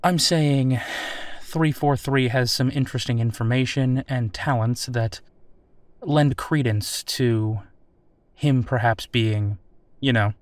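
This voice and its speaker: Man playing a wry, unhurried captain interviewer. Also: mid-range voice, dry recording, lightly acted. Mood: recounting, thoughtful. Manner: casual, conversational, wry. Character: male captain interviewer, casual, wry, probing military officer. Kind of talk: scripted audio drama interview.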